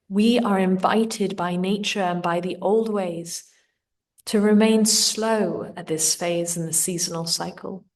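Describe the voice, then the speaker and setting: A woman leading a guided meditation in a gentle, soothing, unhurried manner. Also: mid-range voice, far from the mic. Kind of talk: slow guided meditation narration.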